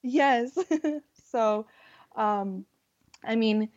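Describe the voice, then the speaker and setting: A young woman with a Californian accent, a guest chatting casually, friendly and unhurried, with many fillers. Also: a little high, darkish tone. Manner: friendly, fillers and laughs, deliberate. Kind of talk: casual conversation. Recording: dull lo-fi sound.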